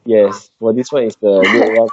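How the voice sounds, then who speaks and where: A man with a Malaysian accent giving an update in an informal work meeting on a video call, in halting non-native English. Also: lowish voice, fairly even intonation. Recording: dull, noisy video call with clicks.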